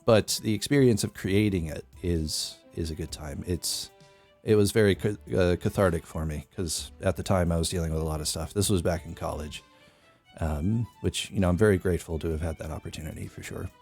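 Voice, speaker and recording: Very deep-voiced young man streaming. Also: a little fast, darkish tone, faint music behind. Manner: meandering, thinks aloud with many fillers. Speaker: music producer streamer. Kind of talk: casual live-stream talk. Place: small bedroom studio. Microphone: studio mic on boom arm, headphones.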